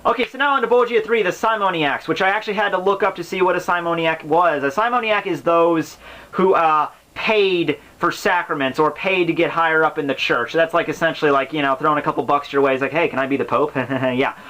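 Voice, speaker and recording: Man, slightly high pitch, bright forceful voice, casual host, slangy explanatory asides. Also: brisk. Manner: informal, slangy and profane, with asides and acted-out hypothetical exchanges. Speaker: host of a book commentary series. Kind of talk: casual, rambling explanatory commentary on a classic book.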